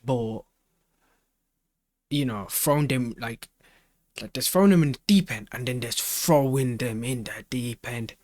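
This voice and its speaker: A man's voice: medium pitch, a little slow, pauses often, darkish tone, no room sound. A man giving casual off-the-cuff reaction commentary in slangy speech, often repeating and rephrasing himself. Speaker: reaction video host.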